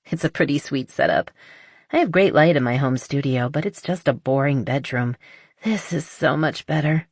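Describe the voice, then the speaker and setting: A woman voicing a spirited, playfully stubborn female artist in an audiobook romance, frank and animated. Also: sits low, normal speed, slightly rough, dry recording, clean studio sound. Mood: chatty, enthusiastic. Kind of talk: audiobook character dialogue.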